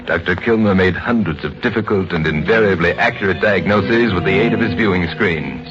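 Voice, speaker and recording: A man giving grave, dramatic scripted narration in a suspenseful 1950s radio style, with deliberate emphasis. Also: sits low. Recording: muffled vintage radio recording. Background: music.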